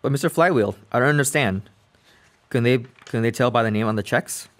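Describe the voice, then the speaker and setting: Man playing a stiff earnest do-gooder, mid-pitched, old radio drama vibe. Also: fairly quick, natural voice. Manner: formal, earnest, stiff speeches. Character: earnest pompous crime-fighting committee man. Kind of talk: radio play acting.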